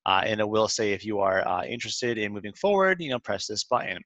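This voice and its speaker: A man presenting a software walkthrough in an informal, step-by-step instructional way. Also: fairly low pitch, very animated, fast talker, dry recording, dull audio. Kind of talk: walkthrough narration of a screen demo, semi-scripted. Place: close dry voice-over for a screen recording.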